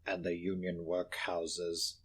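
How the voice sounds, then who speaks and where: A man's voice: completely flat delivery, slow, breathy edge, exaggerated voice. A man doing a growling, whispering old miser. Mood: curt, grumbling. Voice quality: low, growling, half-whispered. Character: miserly bitter old male moneylender.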